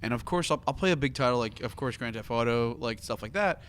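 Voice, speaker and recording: A man's voice: medium pitch, expressive, fast talker, fairly bright tone. A man hosting a casual podcast discussion, talking in an emphatic, questioning way. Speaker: podcast host. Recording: noticeable background room noise.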